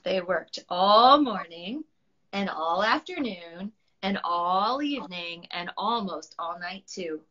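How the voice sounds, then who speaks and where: A woman reading and chatting to children in an expressive storybook style, with slightly dull sound. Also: lowish voice, slow pace. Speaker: children's book reader, writing teacher. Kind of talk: animated storybook read-aloud and friendly chat.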